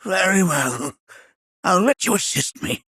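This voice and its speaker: Whimsical old scholar for a game, creaky and high-ish, wildly up and down, formal old-timey wording. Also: male voice, very animated, a little slow, pushed and bright, dry recording, heavily acted. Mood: jovial. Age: older. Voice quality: raspy, creaky. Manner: formal, old-fashioned phrasing, musing and theatrical. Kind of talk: video game character acting.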